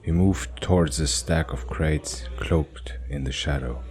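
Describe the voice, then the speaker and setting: A man narrating a cinematic fantasy audio drama in a solemn, dramatic manner with short measured phrases. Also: very deep voice, a little mellow.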